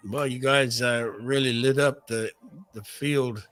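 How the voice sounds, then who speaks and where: A man hosting a casual interview, speaking in a halting, gushing way with affectionate words for his audience. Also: mid-range voice, steady tone, fairly bright tone, faint music behind. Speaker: host.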